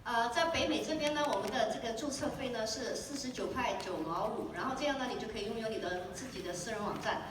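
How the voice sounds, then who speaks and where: A woman interprets on stage, quick and filler-laden as she restates each point. Her voice sits a little high. She is taped from far back in an echoey convention hall with steady background noise. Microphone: handheld mic, recorded from far in the audience.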